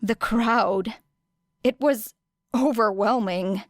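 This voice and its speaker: A woman reading a story as an audiobook narrator, with expressive, dramatic phrasing and deliberate pauses. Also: a little high, no room sound, clean studio sound. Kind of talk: expressive scripted fiction narration.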